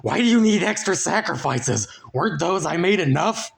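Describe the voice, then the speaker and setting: Man doing a whimpering, high-pitched pathetic oddball. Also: lively intonation, moderate pace, gravelly. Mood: quivering protest. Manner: whimpering, pleading. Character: filthy, pathetic, fearful male oddball.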